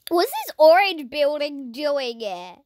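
A woman giving playful, dramatic commentary over a video game, her voice croaky and strained. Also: sits high, sing-song, slow. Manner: dramatic, silly, swings between shouting and whispering. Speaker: gaming YouTuber.

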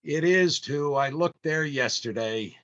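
A man's voice: a little high, unhurried, a bit bright. An older man playing a long-suffering, scolding family man in an old-time radio comedy, theatrical and exasperated. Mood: grudging. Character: exasperated, long-suffering grumbling husband and father. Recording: slightly dull online recording.

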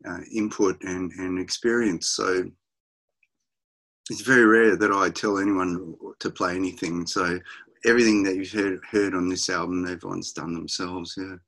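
Aussie muso guy, really low voice, lots of ums and restarts, video call from home. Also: fairly even intonation, fairly bright tone, low-quality recording. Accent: Australian. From Australia. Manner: halting, many restarts and fillers, laughs often. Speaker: musician guest. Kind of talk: casual interview over video call. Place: home music room, indoors.

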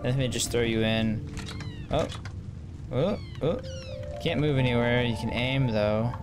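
A man's voice: medium pitch, a little slow. A man giving casual gameplay commentary in a slangy, joking, reactive manner. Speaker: gamer, let's player. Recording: game music behind. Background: video game music.

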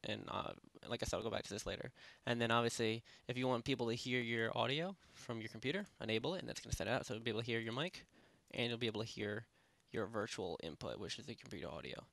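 A man narrating a software tutorial in a casual, unscripted, rambling way, speaking informally with lots of filler phrases. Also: mid-range voice, quick pace, gravelly, few pauses, no room sound. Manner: rambling, informal, repeats "basically" and "you know". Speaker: tutorial presenter. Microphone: close headset microphone.

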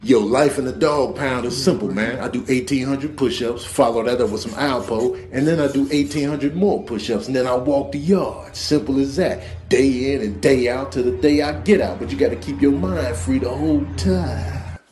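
A man's voice: medium pitch, moderate pace, darkish tone, reverberant, faint music behind, close to an everyday voice. A man voicing a muscular, stoic pound dog talking like a matter-of-fact inmate in a comic animal voiceover. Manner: matter-of-fact prison-yard talk.

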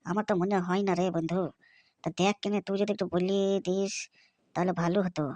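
A woman's voice: medium pitch, level tone, brisk, a little mellow, dry recording, close to an everyday voice. A woman voicing an earnest, plaintive young man in a comic cartoon. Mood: shy, hesitant. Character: bashful, earnest unemployed young man in love.

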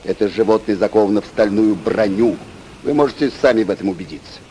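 An actor in a radio play voicing a bold, hot-tempered harpooner with a blunt, forceful manner. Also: male voice, lowish voice, fast, slight background noise, close to an everyday voice, poor audio. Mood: brisk.

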